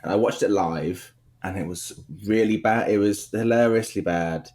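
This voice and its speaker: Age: 20s. Sex: man. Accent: British. Pitch: lowish. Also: darkish tone. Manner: rambling, self-deprecating, halting restarts. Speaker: quiz show panelist. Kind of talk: casual podcast chat.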